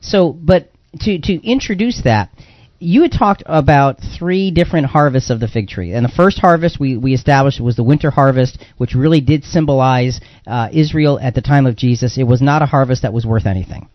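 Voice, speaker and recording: A man's voice: mid-range voice, fairly quick, no room sound, dull audio. A man hosting a live radio Bible discussion, talking conversationally as he recaps points and puts questions to a guest. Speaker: radio show host. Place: radio studio.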